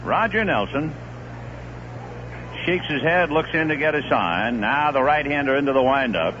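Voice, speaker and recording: A man calling a baseball game on the radio, chatting between pitches and reeling off statistics. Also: moderate pace. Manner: lively, conversational, reeling off statistics. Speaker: play-by-play announcer. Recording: muffled 1970s radio broadcast with hiss.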